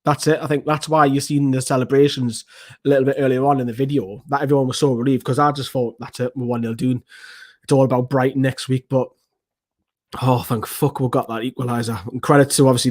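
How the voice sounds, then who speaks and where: A man, hoarse from losing his voice, giving an excitable, rambling post-match football analysis as a fan channel host. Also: mid-range voice. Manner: excitable, swears freely, rambling restarts.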